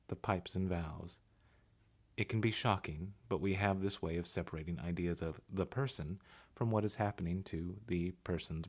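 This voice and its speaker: A man voice-over artist giving a measured, unhurried, hushed reading of essay and poetry. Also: sits low. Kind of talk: scripted narration of essay and poetry.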